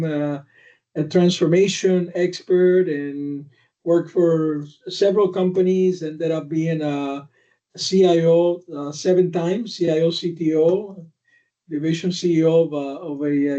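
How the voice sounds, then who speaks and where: An older man speaks in a fairly high but mellow voice, pausing often on fillers. He sounds distant from the mic, and the audio comes through dull and lo-fi. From Cuba. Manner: halting, many fillers. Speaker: podcast guest. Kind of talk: conversational podcast discussion.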